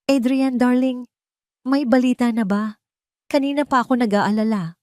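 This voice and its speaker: A woman's voice: a little high, steady tone, normal speed, a little mellow, no echo, clean studio sound, pitched above the performer's own voice, natural voice. A woman voicing an anxious, calculating wife in an audio love drama, with a dramatic, sweetly worried manner. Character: anxious, cold, calculating wife of a rich man.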